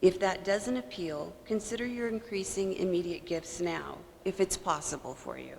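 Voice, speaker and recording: A woman's voice: on the low side, moderate pace, creaky. A woman student delivering a formal prepared speech to donors, earnest with wry humor. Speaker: student speaker. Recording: reverberant hall with faint room noise.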